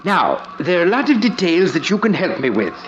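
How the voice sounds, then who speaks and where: An actor playing an obsessive, hesitant professor who hates insects, in a 1940s radio drama. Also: male voice, high voice, huge pitch swings, brisk. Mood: fussy. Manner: hesitant, stammering, turns fanatical. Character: obsessed middle-aged male insect-hating professor. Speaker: scientist character. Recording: dull old radio recording with music.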